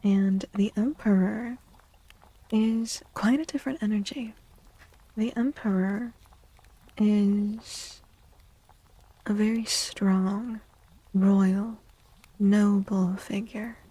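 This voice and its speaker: A woman reading tarot in a soft-spoken ASMR style, gentle and deliberate, often close to a whisper, with pauses and repeated phrases. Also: mid-range voice, fairly even intonation, rough recording. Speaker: streamer, tarot reader.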